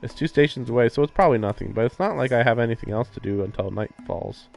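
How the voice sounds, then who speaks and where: A man's voice: medium pitch, quick pace, creaky, a little mellow. A man livestreaming a visual novel, casually reading narration aloud and adding sardonic, incredulous asides. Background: game music.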